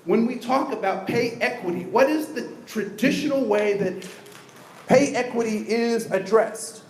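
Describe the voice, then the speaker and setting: An energetic man with a high voice leads a diversity training session, often asking the audience questions. He is recorded in an echoey room with faint background noise. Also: measured pace. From United States. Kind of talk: interactive training talk.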